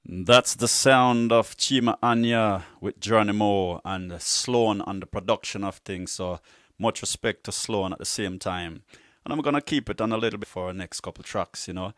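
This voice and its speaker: A man with a low voice hosts a music show in a laid-back, conversational style. His talk is casual and full of Caribbean-inflected slang, and the sound is close and dry. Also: a bit bright, dry recording. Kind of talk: casual radio-style chat between music tracks.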